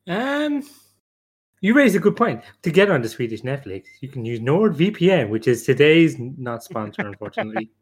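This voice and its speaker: A man's voice: fairly high pitch, big pitch swings, normal speed, husky. A man chatting casually as a podcast panelist, joking and rambling hesitantly as he goes. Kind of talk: casual chat.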